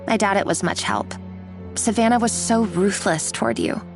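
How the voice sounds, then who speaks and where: A woman playing a sympathetic, modest kitchen coworker in a video game, gentle and conversational. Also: mid-range voice, very animated, moderate pace, vocal fry, soft background music.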